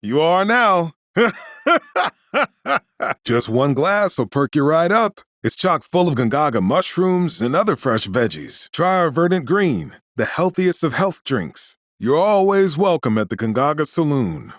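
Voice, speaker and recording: A welcoming saloon keeper from a video game, full of hearty sales patter. His voice is fairly high and lively, recorded dry. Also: unhurried, no echo. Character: welcoming saloon keeper pitching a health drink.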